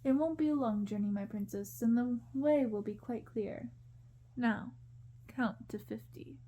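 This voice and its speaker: A woman voicing a suave, scheming young prince in a storybook, coaxing and intimate, speaking softly. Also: fairly high pitch, unhurried, lo-fi sound. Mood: reassuring.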